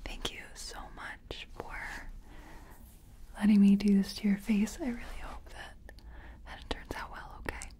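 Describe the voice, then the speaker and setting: A woman doing a soft-spoken ASMR personal attention roleplay, murmuring gently in short phrases with a breathy whisper. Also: medium pitch, little pitch movement, unhurried. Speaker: ASMR creator role-playing a makeup artist.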